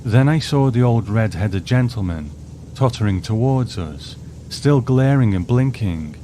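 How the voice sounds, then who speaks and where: A man's voice: medium pitch, lively intonation, unhurried, warm tone, slight background noise. A man hosting and narrating a scripted review with story readings. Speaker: host and narrator.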